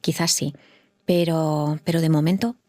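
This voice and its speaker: A woman's voice: low-pitched, fairly even intonation, a little slow, dark tone, no room sound, studio quality. A middle-aged woman from Madrid, a fertility nurse giving thoughtful interview answers and often repeating words as she searches for them. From Madrid, Spain.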